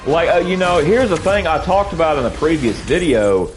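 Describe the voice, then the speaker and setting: A man's voice: on the high side, very animated, moderate pace, slight background noise, low-quality recording. A young man streaming while gaming, ranting casually to his chat. He rambles, repeats himself, says bro a lot, and sounds sniffly from allergies.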